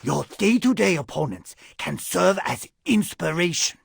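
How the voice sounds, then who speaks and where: An aging, eccentric rat sensei from an animated game, husky and full of gravel. He teaches in a slow, theatrical way, like a father instructing his sons. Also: high-pitched, exaggerated voice. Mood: calm guiding. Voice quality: raspy, gravelly. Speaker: martial arts teacher.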